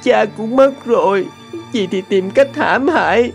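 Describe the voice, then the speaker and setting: A man voicing a gentle, grieving young man in a cartoon folk tale, tender and plaintive. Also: high voice, unhurried, creaky. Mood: tearful grief. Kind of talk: animated fairy-tale dubbing. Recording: music under the voice.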